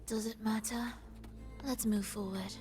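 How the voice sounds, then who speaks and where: A woman's voice: a little high, steady tone, measured pace, warm tone, close to an everyday voice. A woman playing a cool, aloof young woman in a video game, terse, composed and detached. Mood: indifferent. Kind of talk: video game voice acting, story dialogue. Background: game music.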